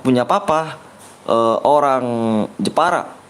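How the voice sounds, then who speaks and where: A man's voice: mid-range voice, takes it slowly, a bit bright. A young man live-streaming a game, reading viewer comments aloud and bantering with them, joking about himself and urging everyone to like and subscribe. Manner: playful joking persona. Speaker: game livestreamer. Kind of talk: casual live-stream chat.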